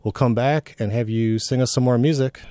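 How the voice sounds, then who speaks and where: A man hosting a casual interview, asking questions in a relaxed, conversational way with ums and restarts. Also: mid-range voice, a little fast, clear, resonant tone, dry recording. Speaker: interview host.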